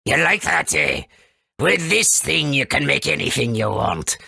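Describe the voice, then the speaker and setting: A man voicing a proud, helpful, folksy forest creature in a video game, with a raspy, creaky voice. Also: medium pitch, wildly swooping pitch, a little slow, bright, forceful tone, dry recording, clean studio sound, full character voice. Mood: proud, explanatory. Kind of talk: video game character acting.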